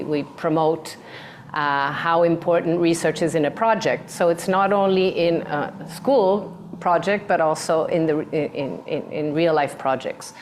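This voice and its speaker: Casting: low-voiced woman moderator, halting, full of um and repeats. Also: a little hiss or hum. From mixed background. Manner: hesitant, repeats words, many fillers. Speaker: moderator and design teacher. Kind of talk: moderated design talk.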